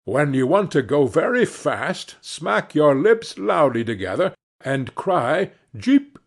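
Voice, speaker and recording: Man as a persuasive horseman, slow and animated. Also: a little high, vocal fry, heavily acted. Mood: instructing. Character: affable horseman, a smooth-talking, persuasive trader. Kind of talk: fairy tale storybook reading.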